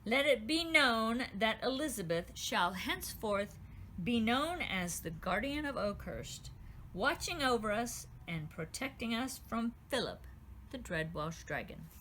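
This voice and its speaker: Commanding storybook queen, voiced by a woman, regal, measured, bright tone. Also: fairly high pitch, lively intonation, a little hiss or hum, poor audio. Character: stern regal fairy queen.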